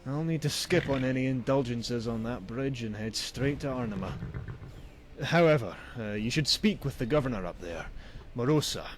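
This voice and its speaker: A man's voice: medium pitch, animated, moderate pace, a little hiss or hum, close to an everyday voice. Man acting a sardonic fantasy game traveler. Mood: sardonic, teasing. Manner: sardonic, theatrical, old-fashioned turns of phrase. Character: wry, cynical male traveling merchant, grim about bandits. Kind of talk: voiced video game dialogue.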